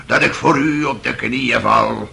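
A man's voice: mid-range voice, measured pace, sharp tone, exaggerated voice. An actor playing a wheedling, buffoonish old father in a radio play, theatrical and fawning in manner. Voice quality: gravelly, creaky. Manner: theatrical, fawning, exclaiming mock-humility.

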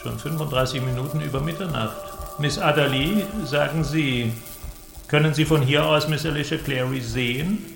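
A man's voice: a little high, moderate pace, creaky. An actor playing a confident inventor who presents his android creation in a radio drama. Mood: brisk, assured. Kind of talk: German radio drama acting. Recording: roomy echo, music behind.

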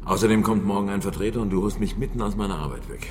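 An actor playing a gruff, dismissive chairman of local traders in a radio crime drama, scornful and evasive. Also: on the low side, very fast, a little hiss or hum, lightly acted.

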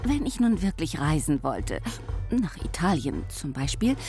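Wistful lady, animated swooping voice. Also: sits low, measured pace, faint music behind, exaggerated voice. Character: wistful, hesitant cultured lady longing to travel. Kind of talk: literary radio drama acting.

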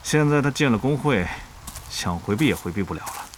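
A man playing a measured, authoritative and calculating online guild leader in an audio drama. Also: medium pitch, animated, slight background noise, lightly acted. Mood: commanding. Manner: measured, decisive. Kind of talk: Chinese audio drama dialogue.

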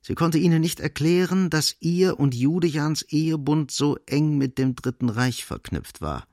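A man playing the sombre narrator of a literary radio drama, reading with grave, measured and deliberate phrasing. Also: on the high side, expressive, a little mellow, no echo, studio quality. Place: studio.

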